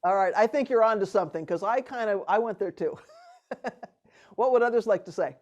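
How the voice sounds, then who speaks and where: A woman leading a retreat discussion in a relaxed, animated way, laughing often and restarting her sentences. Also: medium pitch, fairly quick, muffled recording. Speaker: retreat teacher. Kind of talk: casual teaching talk.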